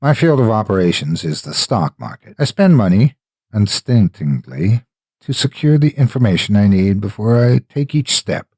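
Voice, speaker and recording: A man narrating a horror story in a deliberate, dramatic manner with measured pauses. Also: lowish voice, very animated, a little mellow, breathy edge, no echo, clean studio sound. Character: a wealthy, cold, calculating gambler narrating his own experiment.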